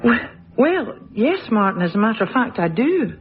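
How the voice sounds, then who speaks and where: An actress playing a kind, cheerful young schoolteacher in a radio drama, with expressive delivery. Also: female voice, fairly high pitch, moderate pace, dull audio, faint music behind.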